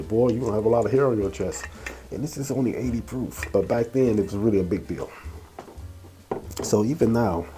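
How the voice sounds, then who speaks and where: A man's voice: on the low side, mellow. A man in his sixties from Detroit, hosting a casual whiskey review with a stuffy nose from a cold, talking in an easygoing, chatty way. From Detroit, USA. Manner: easygoing and chatty, with frequent uh and you know. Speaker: whiskey reviewer and channel host. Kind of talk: casual spoken review and storytelling.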